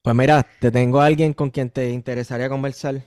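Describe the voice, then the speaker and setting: A young man with a Puerto Rican accent hosting a casual podcast, chatty and relaxed, with many fillers. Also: mid-range voice, fast, clear, resonant tone. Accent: Puerto Rican Spanish. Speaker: podcast host.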